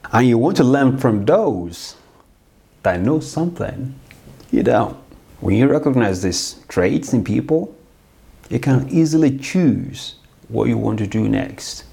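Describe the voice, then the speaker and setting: A man's voice: mid-range voice, vocal fry, warm tone. A man hosting his own podcast, giving an earnest, explanatory solo talk straight to his listeners. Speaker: podcast host.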